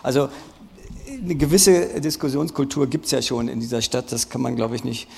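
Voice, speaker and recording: A man moderating a public panel discussion, phrasing his questions in a halting, searching way. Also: mid-range voice, fairly even intonation, vocal fry, darkish tone. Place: large hall at a public event. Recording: reverberant hall.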